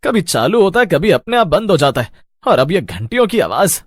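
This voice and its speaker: A man playing a frightened, bewildered traveller lost at night in an animated horror story, anxious and hesitant. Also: high-pitched, a little fast, no echo, studio quality. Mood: uneasy.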